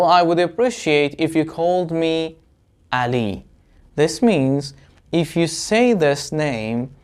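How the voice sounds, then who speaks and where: A man giving an English lesson to viewers, explaining deliberately as a teacher with example phrases. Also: fairly high pitch.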